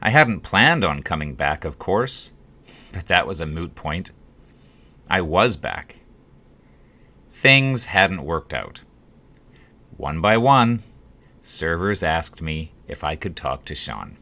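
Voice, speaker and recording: A man reading his own story as an audiobook, in a wry, dryly humorous way with dramatic pauses. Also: low-pitched, sing-song, bright, forceful tone. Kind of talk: scripted audiobook narration of a memoir-like story.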